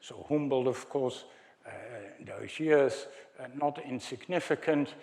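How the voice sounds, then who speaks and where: Older Dutch-accented male academic, slow, halting lecture delivery with wry asides. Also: mid-range voice, gravelly, darkish tone. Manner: hesitant, many fillers, wry asides to the audience. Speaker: academic speaker. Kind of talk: conference lecture with slides.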